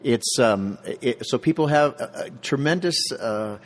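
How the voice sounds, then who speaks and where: A man's voice: medium pitch, lively intonation, a little slow, big-room sound. A man from Southern Colorado talking casually and earnestly in an interview, often restarting words, about a community center where he works.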